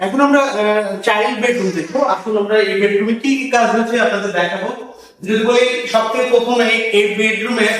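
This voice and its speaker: Male interior design presenter, Bangladeshi accent, high voice, hesitant walkthrough delivery over music. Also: fairly even intonation, fairly quick, fairly bright tone. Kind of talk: property walkthrough narration. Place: indoors, bare apartment rooms. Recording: echoey rooms, distant mic, background music.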